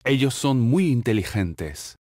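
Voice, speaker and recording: A man reading short phrases for a language lesson, saying each one slowly and clearly and then repeating it. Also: medium pitch, big pitch swings, darkish tone, no echo. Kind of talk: slow, carefully enunciated drill reading. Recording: dry, close studio voice-over.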